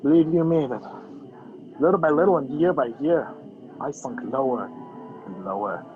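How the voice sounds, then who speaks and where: A man's voice: mid-range voice, moderate pace, close to an everyday voice. A young man acting a wisecracking, sarcastic fugitive in an amateur radio play. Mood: mock confessional. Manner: dry sarcasm. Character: wisecracking fugitive man, sardonic, handcuffed to a woman. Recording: dull lo-fi video call audio. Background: music.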